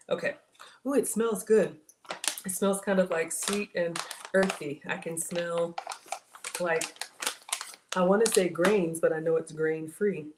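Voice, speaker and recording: A middle-aged woman with a slightly raspy voice, chatting casually to viewers while she cooks in her home kitchen. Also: fairly low pitch. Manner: chatty, talks to viewers as y'all. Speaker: cooking livestream host. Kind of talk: casual live cooking chat with viewers. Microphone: fixed camera at counter. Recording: echoey kitchen with clear background noise.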